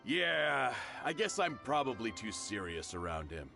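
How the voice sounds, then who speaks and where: A middle-aged shopkeeper from a video game, genial and familiar. His ordinary-pitched voice rises and falls dramatically as he talks. There is music underneath. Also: big pitch swings, a little slow, vocal fry, sharp tone, full character voice. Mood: earnest, friendly. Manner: friendly, familiar, insistent.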